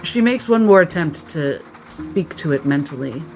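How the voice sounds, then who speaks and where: A woman's voice: low-pitched, lively intonation, normal speed, over music. A woman playing a tabletop game, narrating what her character does in the third person.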